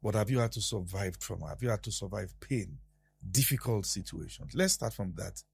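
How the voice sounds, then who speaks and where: Male host, deep raspy voice, earnest interviewer. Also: a little fast. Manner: earnest, eager affirmations, probing questions. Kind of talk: interview chat.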